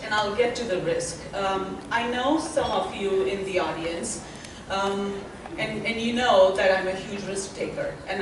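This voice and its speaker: A woman's voice: medium pitch, noisy background. A middle-aged woman with an Indian accent, speaking earnestly as a panelist at a symposium. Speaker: panelist, associate dean. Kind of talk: panel talk to a symposium audience. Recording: echoey hall from far off.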